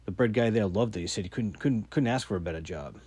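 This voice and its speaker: A man's voice: fairly low pitch, lively intonation, fast talker, a little mellow, slightly rough, no echo. A man voicing a chatty, clueless young male grocery cashier who makes eager, naive small talk. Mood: cheerful.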